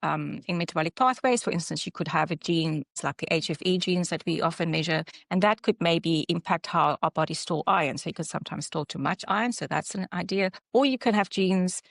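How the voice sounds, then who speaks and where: South african lady clinician on a webinar call, kinda low voice, very dry sound, lots of ums and restarts. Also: gravelly, no room sound. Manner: halting explanation with fillers and self-corrections. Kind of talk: online webinar presentation.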